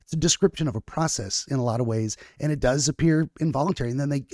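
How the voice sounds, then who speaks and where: A man's voice: mid-range voice, quick pace, a little mellow, no room sound, clean studio sound. A man hosting a podcast, talking in a rambling way with many restarts and self-corrections. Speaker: podcast host. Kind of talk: casual conversational interview.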